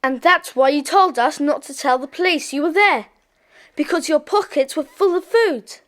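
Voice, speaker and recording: A woman's voice: high voice, moderate pace, lightly acted. A woman playing a keen, clever schoolboy detective in an audiobook dramatization. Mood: keen, curious.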